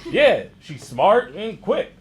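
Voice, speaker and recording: A woman's voice: medium pitch, big pitch swings, slow pace, crowd noise. Woman as a wildly swooping, cheeky bar worker. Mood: casual. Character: casual, cheeky bar employee. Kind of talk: live comedy table read. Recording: noisy live stage.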